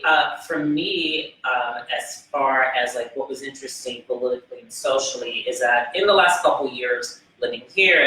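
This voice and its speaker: A Brazilian man with a New York-tinged accent, hosting a casual cast discussion with rambling, animated stories. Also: mid-range voice. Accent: Brazilian, New York influenced. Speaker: interviewer and film writer. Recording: echoey room, mic at a distance, faint noise.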